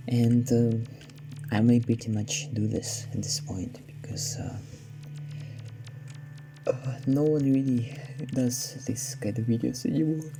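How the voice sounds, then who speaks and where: A man's voice: mid-range voice, fairly even intonation, slow, long pauses, background noise. A man giving casual, hesitant commentary while playing a game, his voice sardonic and a little down. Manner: sardonic, slightly down-sounding, hesitant with many fillers. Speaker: let's play gamer. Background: game music.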